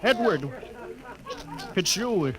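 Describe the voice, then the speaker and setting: An actor playing a commanding, emotional rocket ship captain in a 1950s radio drama. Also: male voice, high voice, animated, drawn-out words, exaggerated voice, low-quality recording. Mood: stunned, moved. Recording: old radio broadcast with crowd noise.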